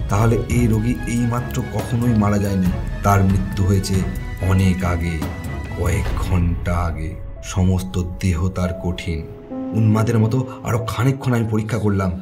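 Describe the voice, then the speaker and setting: A man's voice: on the low side, close to an everyday voice. A man playing a troubled doctor who narrates a horror cartoon in a suspenseful, theatrical way. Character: troubled male doctor recounting a ghostly night. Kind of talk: dramatic scripted horror narration. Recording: music behind the voice.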